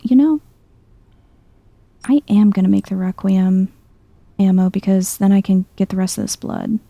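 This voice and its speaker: Very soft, mellow woman, gently husky. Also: mid-range voice, moderate pace, dry recording. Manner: chill, musing to herself. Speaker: video game streamer. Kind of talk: soft-spoken ASMR let's play commentary.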